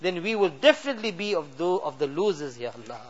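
A man's voice: on the high side, big pitch swings, fast, husky. A man leading a fervent late-night supplication as an imam, pleading with constant repeated refrains. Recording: dull lo-fi recording.

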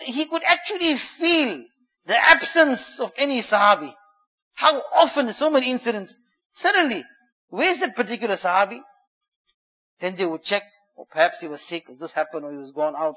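A man preaching and telling stories, his voice rising to loud emphasis and then falling to a hush. He runs through Arabic verses in a quick chant. The recording sounds a little dull and lo-fi. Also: very high-pitched. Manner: shifts between loud emphatic lines and hushed quiet ones. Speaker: Islamic preacher. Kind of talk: sermon storytelling.

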